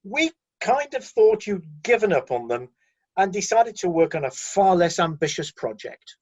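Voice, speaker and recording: Man as husky, deferential Saint Peter, heaven's aide. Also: sits high, sing-song, normal speed, a little mellow, lo-fi sound. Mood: matter-of-fact, apologetic. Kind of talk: radio play acting.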